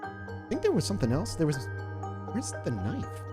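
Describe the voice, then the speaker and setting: A timid young woman , acted by a man, in a tabletop game, her voice pitched up and hesitant. She stammers evasively and apologizes nervously, with clear music playing behind her. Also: huge pitch swings, normal speed. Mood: unsettled. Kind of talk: tabletop roleplay character acting.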